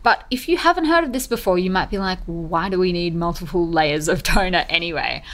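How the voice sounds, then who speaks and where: A woman's voice: fairly low pitch, expressive, fairly quick, a bit bright. A woman hosting a podcast, explaining skincare methods in an upbeat, chatty way. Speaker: podcast host. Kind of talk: podcast monologue.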